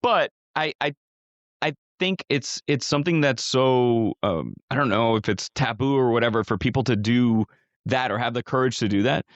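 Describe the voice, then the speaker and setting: A man's voice: mid-range voice, normal speed, no room sound, lo-fi sound. A middle-aged man co-hosting a podcast, chatting casually, rambling with restarts and casual swearing. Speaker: podcast co-host. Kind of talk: casual chat.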